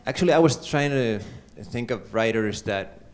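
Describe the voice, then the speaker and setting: A man's voice: medium pitch, animated, moderate pace. A man from Finland with a Finnish accent, a novelist answering a panel question in English, hesitant and full of fillers.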